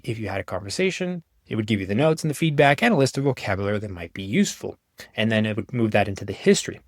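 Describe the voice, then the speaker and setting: A young man giving a casual, conversational walkthrough of software he made, in an informal way. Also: medium pitch, no echo, clean studio sound. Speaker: app developer presenting his own software.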